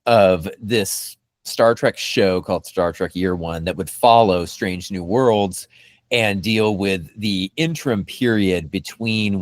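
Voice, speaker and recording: Male podcast host, low register, dry close sound, casual and meandering, feeling his way through opinions. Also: no room sound. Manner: hesitant, meandering, hedging delivery. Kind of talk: casual fan discussion.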